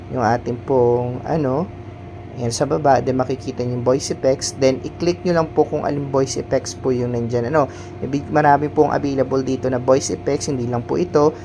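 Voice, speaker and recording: A man's voice: medium pitch, fairly even intonation, normal speed. A man hosting an informal step-by-step app tutorial, speaking politely and instructively. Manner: polite, instructional, repeats verbal tags. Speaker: tutorial host. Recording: clearly noisy background hum.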